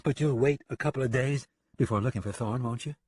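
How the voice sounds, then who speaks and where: A man's voice: medium pitch, brisk, darkish tone, breathy edge, dry recording, clean studio sound, pitched above the performer's own voice, close to an everyday voice. A man voicing an affectionate, eager woman in a western audiobook. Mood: serious, coaxing. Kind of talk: western audiobook character acting.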